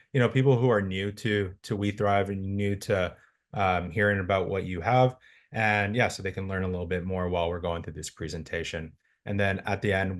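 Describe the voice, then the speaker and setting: A man hosting a webinar, casual and full of fillers as he moderates over a video call. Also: deep voice. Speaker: webinar host, content marketing director.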